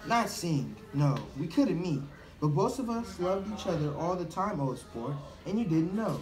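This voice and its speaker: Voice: mid-range voice, expressive, normal speed, darkish tone, natural voice. A performer playing an earnest, insistent romantic rival who claims another man's wife in an amateur puppet show. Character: earnest romantic rival, man, fixated and intense. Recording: roomy, from far off.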